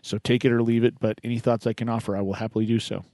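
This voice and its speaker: A man's voice: medium pitch, flat delivery, very fast, dark tone, dry recording, studio quality. A man hosting a radio talk show, chatting casually and encouragingly with a caller, rambling a little as he gives advice. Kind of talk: casual on-air chat with a caller.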